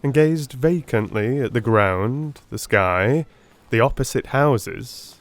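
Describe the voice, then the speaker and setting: A man narrating an audiobook in a dramatic, measured, literary style. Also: mid-range voice, animated, dry recording.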